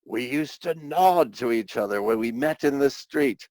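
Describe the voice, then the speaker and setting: A man's voice: mid-range voice, animated, normal speed, a little mellow, dry recording, lightly acted. A man playing a callous, jovial businessman in a radio play, with a flippant drawl. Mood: flippant, amused.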